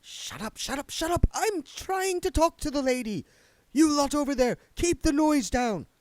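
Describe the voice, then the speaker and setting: A high, reedy male ghost, petulant and always ready to pick a fight. He squabbles with the other spirits in a voice lifted far above the reader's own pitch. The sound is dry and close. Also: animated, moderate pace, a little mellow, no echo. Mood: irritated. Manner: squabbling, combative.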